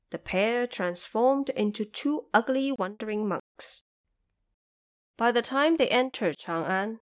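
Woman narrating a fantasy tale. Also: fairly high pitch. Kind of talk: scripted storytelling narration of a fantasy audio drama.

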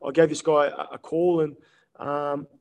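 A man, telling stories in a relaxed podcast chat, full of ums and easy laughs. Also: fairly high pitch, measured pace. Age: 30s. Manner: laid-back storytelling with frequent ums and laughs. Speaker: guest.

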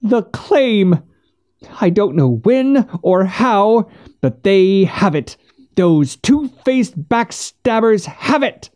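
Man voicing a high-pitched, defiant distraught widow. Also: big pitch swings, takes it slowly, a little mellow, full character voice. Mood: frantic outrage. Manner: indignant, pleading, emphatic. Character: defiant, distraught widow guarding her land with a shotgun. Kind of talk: audiobook character voice.

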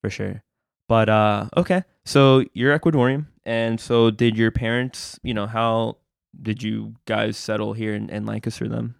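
A man's voice: lowish voice, steady tone, measured pace, creaky, clear, resonant tone, no echo, studio quality. A man hosting a podcast interview, chatting casually and hesitantly with many fillers and restarts. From United States. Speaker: podcast host.

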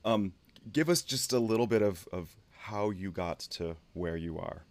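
Low-voiced male host asking a question. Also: unhurried, dry recording. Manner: relaxed, hesitant with fillers. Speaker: podcast host. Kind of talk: interview question.

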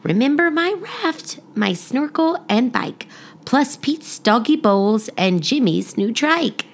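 A woman voicing an excitable storybook child who exclaims eagerly about a family holiday. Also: high voice, slow, pitched above the performer's own voice, full character voice. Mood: eager, excited.

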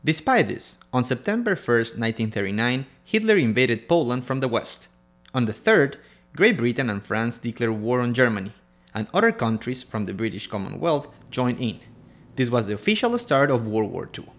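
A man's voice: medium pitch, big pitch swings, moderate pace, a bit bright. A man from Ecuador co-hosting a history podcast, reading scripted narration in turns with his co-host.

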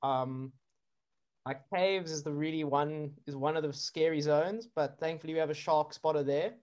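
A man's voice: a little high, moderate pace. Chatty South African surfer guy. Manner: relaxed, chatty, many fillers and laughs. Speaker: surfer and drone filmmaker. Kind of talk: casual interview chat.

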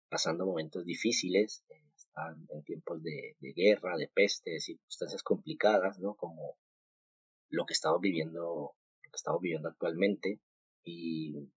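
A man from Colombia in his thirties hosting a podcast, sharing a devotional thought in a halting way, with many fillers and restarts. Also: low-pitched, a little mellow, husky, dry recording. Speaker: podcast host. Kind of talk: casual devotional talk. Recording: muffled, dull home recording.

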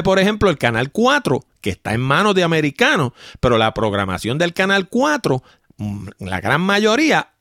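Animated Puerto Rican man, wide-swinging pitch. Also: no room sound, studio quality. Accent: Puerto Rican Spanish. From Puerto Rico. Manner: animated, talks straight to listeners, rhetorical questions. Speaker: podcast host. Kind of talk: solo opinion commentary.

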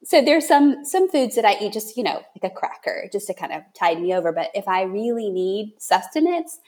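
A woman hosting a casual interview chat, thinking aloud in a halting way as she works toward her point. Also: mid-range voice, very animated, a little mellow. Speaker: podcast host and writer.

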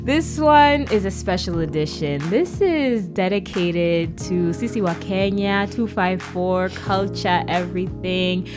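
A woman's voice: on the low side, over music. A woman hosting a casual interview podcast, upbeat and laughing easily. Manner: upbeat, laughs often, drawn-out phrasing. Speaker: podcast host.

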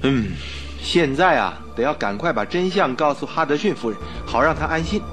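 An actor playing an earnest, puzzled doctor and loyal friend in a Mandarin radio drama, with a questioning manner. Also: male voice, a little high, expressive, moderate pace, fairly bright tone. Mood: curious, relieved. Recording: music behind the voice.

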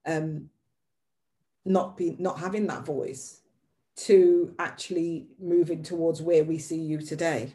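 A woman conducts a conversational interview in a dark, mellow voice. Her pitch sits a little low. She feels her way through each question, phrasing it tentatively. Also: steady tone, slow.

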